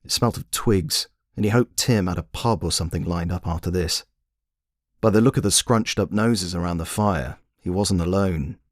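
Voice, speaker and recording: Fiction narrator: man with a fairly low voice, dry studio-like sound, reading scripted prose. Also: moderate pace, no echo. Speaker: author and narrator.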